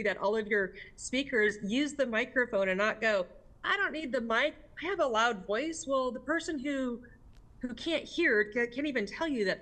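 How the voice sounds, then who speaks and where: A woman's voice: on the high side, fairly bright tone. An American woman answering as a district governor in an interview, speaking candidly and emphatically with frequent rhetorical questions.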